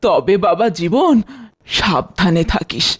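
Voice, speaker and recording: An actor voicing an anxious, caring parent in a folk tale cartoon, gently cautioning. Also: mid-range voice, big pitch swings, measured pace, breathy edge. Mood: worried, tender. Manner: cautioning, tender.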